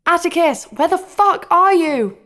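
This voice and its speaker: A woman's voice: extremely high pitch, animated, measured pace, a bit bright, heavily acted. An actress playing a bold, sarcastic young female adventurer who tells her own tale in an audio drama. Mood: annoyed. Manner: sarcastic, dry. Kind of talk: first-person audio drama narration. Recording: music under the voice. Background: instrumental music.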